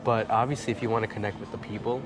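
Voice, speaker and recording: A man's voice: lowish voice, steady tone, racing. A man in his twenties with an American accent, a guest explaining things in casual chat and repeating phrases for emphasis. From Chicago suburbs, United States. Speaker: interview guest, expat in the Philippines. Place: large bright indoor lounge with tall windows. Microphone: clip-on lapel mic. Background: music in the background.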